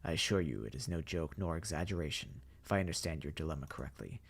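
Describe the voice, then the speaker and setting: A man voicing a polite, formal zombie mastermind who speaks in a slow, measured and softly menacing way. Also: extremely low pitch, animated, creaky, slightly rough, voice pushed down, exaggerated voice. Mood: calm, courteous. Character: courtly, eerily polite male zombie mastermind.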